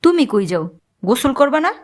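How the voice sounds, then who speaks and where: A woman's voice: high-pitched, sing-song, moderate pace. A woman with a Bangladeshi accent playing an exasperated, nagging mother and wife in a comic cartoon. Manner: nagging, threatening, sharp-tongued.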